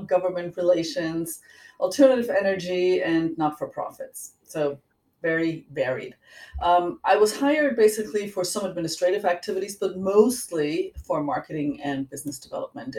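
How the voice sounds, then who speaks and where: A middle-aged woman with a German accent explaining things as an interview guest, in a measured, precise way. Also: on the low side, dark tone. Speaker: interview guest, executive coach. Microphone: online call. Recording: roomy echo, distant microphone.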